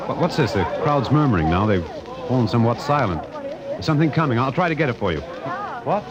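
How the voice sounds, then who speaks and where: Man playing an animated radio parade reporter, crowd roaring. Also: mid-range voice, fairly quick, close to an everyday voice. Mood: hushed anticipation. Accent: American. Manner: declamatory announcing with hesitations. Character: genial, excitable male radio outside-broadcast reporter. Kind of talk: 1950s live radio commentary acting. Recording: loud crowd and band music behind.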